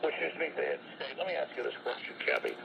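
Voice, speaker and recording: A man's voice: medium pitch, wildly swooping pitch, fast talker, vocal fry. A man speaking on a radio broadcast, heard through a shortwave receiver. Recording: muffled, very noisy shortwave radio reception.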